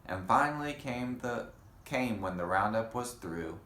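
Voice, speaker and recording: A man reading a children's picture book aloud in an unhurried way, pausing for casual comments and questions. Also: fairly low pitch, lively intonation, a bit bright. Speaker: storybook reader. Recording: roomy echo with faint background noise.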